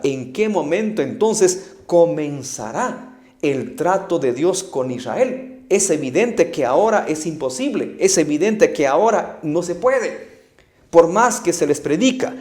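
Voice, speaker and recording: A man's voice: on the high side, a little mellow. A middle-aged man with a Guatemalan Spanish accent, preaching an emphatic Bible study to camera, using rhetorical questions and repetition. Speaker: evangelist preacher.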